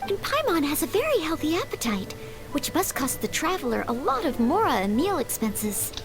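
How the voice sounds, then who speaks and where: High-voiced, polite young female knight. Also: sing-song, normal speed, loud music behind the voice. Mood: earnest. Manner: gentle, formal, deferential. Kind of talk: animated video game voice acting.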